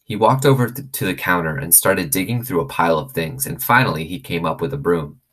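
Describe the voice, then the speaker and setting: Deep-voiced, husky male storyteller. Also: a little fast, a bit bright. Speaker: read-aloud storyteller. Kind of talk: expressive reading of a children's novel.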